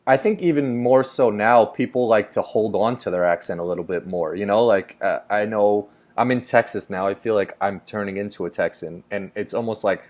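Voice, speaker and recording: A man's voice: lowish voice, fairly even intonation, moderate pace, few pauses, a little mellow. A man from New York hosting a casual chat over a video call, rambling and filling his talk with "like". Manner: rambling, heavy on "like" fillers. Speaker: host.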